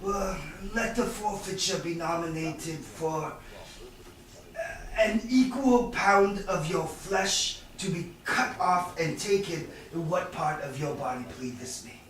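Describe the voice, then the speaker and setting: Man acting a high-voiced bitter moneylender, echoey room. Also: slow, fairly bright tone. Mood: slyly friendly. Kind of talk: staged reading of Shakespeare. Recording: distant, echoey room with background noise.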